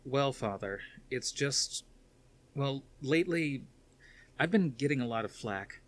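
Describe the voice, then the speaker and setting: A man's voice: medium pitch, lively intonation, measured pace, voice pushed up, natural voice. Man reading as an earnest young male pilot. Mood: frustrated, embarrassed.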